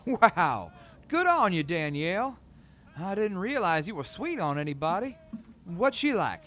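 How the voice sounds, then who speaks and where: A friendly young soldier, voiced by a man, cheers his comrades on with sincere warmth. His slightly high voice moves lively up and down. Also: measured pace, full character voice.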